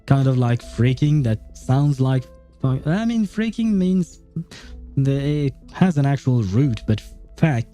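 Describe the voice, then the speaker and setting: Dark, mellow-voiced man rambling on stream. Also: mid-range voice, expressive, slow pace, soft background music. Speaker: game streamer. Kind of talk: casual livestream commentary.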